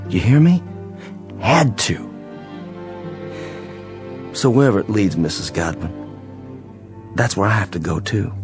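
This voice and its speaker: An actor playing a weary wounded sergeant in a radio drama, courteous and plain-spoken but resolute. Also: male voice, lowish voice, big pitch swings, over music. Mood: resolute, haunted. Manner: plain-spoken, polite, halting.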